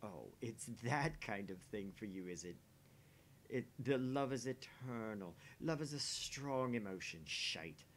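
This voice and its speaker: Man playing a smug, mocking man with lively pitch. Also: measured pace. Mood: condescending. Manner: mocking, condescending. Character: smug, sneering, jaded married man teasing his dinner date. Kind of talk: voice-acting visual novel dialogue. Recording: faint background rumble and noise.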